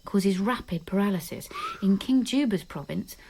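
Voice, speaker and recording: A woman's voice: medium pitch, animated, normal speed, warm tone, a little hiss or hum, natural voice. A woman playing a clever, teasing Roman noblewoman. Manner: playful, knowing, teasing.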